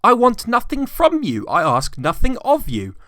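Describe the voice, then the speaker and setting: A man voicing a cheerful, warm-hearted younger nephew, pleading earnestly in a storybook reading. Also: very high voice, huge pitch swings, normal speed, no room sound, studio quality, voice pushed up, full character voice. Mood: heartfelt cheer. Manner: pleading, exclamatory.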